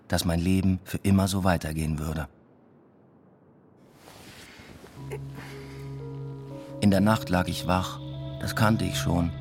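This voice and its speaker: An actor playing a weary, introspective academic who tells his own story in measured radio drama narration. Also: low-pitched, darkish tone, slightly rough. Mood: reflective, weary. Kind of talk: scripted first-person radio drama narration.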